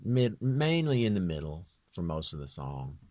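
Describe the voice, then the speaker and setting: A man with a very low, near-bass voice giving a casual, off-the-cuff software walkthrough in a rambling, plain-spoken, self-deprecating way. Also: big pitch swings, normal speed, no echo. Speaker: home-recording singer giving a tutorial.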